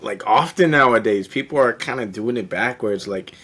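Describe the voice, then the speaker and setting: A young man hosting a podcast, talking casually with an animated, playful manner. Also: mid-range voice, normal speed, slightly rough. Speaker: podcast host. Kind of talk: casual conversation.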